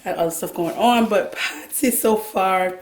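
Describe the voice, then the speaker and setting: Hoarse woman chatting about her reading. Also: medium pitch, a little slow, roomy sound, rough recording. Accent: Jamaican. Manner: laughs often, relaxed chatty talk. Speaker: vlog host. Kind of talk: casual chat about books and cooking.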